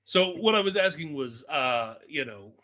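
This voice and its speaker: A man's voice: a little high, expressive, normal speed, bright, forceful tone. A man acting as interviewer on a podcast, putting questions in a casual, conversational way.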